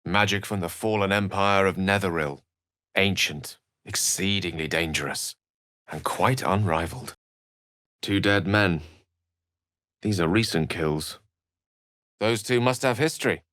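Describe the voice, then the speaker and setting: An actor playing an eloquent, wry wizard in a video game, speaking in articulate, theatrical phrases with an earnest streak. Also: male voice, low-pitched, a little slow, long pauses, a bit bright, no room sound, studio quality. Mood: grave, scholarly. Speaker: video game companion character. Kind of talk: scripted video game voice acting.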